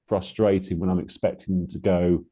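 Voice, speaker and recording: A man's voice: low voice, level tone, normal speed, warm tone. A man reviewing music as a guest in a casual chat, hesitant and thinking aloud as he talks.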